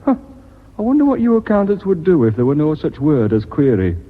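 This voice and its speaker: An actor playing a brash, flirtatious, self-important British sales executive in a radio drama, with a blustering, smooth-talking manner. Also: male voice, a little high, very animated, a little fast. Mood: indignant, blustering. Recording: muffled old radio recording.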